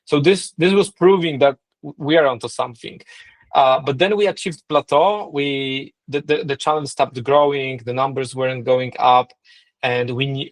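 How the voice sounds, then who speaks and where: Middle-aged man, Polish accent, non-native English, podcast guest, restarts often, mid-pitched voice. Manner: thinking aloud, frequent restarts and "right?" checks. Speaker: co-founder and marketing lead, guest. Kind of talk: podcast interview.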